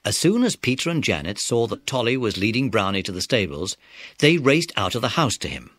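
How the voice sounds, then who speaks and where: A man's voice: lowish voice, sing-song, a little fast, a bit bright, no echo. A man narrating a scripted children's story.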